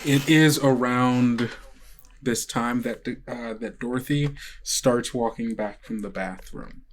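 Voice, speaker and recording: A man's voice: medium pitch, slow pace. A man narrating a tabletop game as game master, improvising hesitantly in a casual way. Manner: hesitant, frequent "like" and "kind of".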